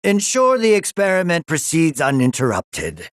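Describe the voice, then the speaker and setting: An actor playing a pompous middle-aged mad scientist in a video game, sinister and gloating, drawling his lines with relish in a raspy voice. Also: on the high side, wildly swooping pitch, moderate pace, no echo, clean studio sound. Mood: smug, commanding. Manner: pompous, drawling, relishing menace with sly chuckles. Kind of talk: theatrical video game villain acting.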